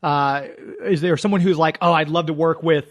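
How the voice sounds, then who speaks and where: A man's voice: fairly high pitch, fairly even intonation, moderate pace. A man hosting a radio show, talking with guests in a conversational interview style.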